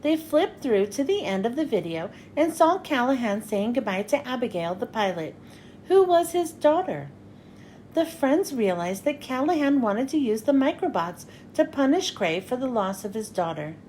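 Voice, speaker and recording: Lady reading a kids book out loud, high pitched and super animated, bit of background rumble. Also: moderate pace. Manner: expressive, dramatic with character lines. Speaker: children's book reader. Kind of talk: animated read-aloud storytelling for kids.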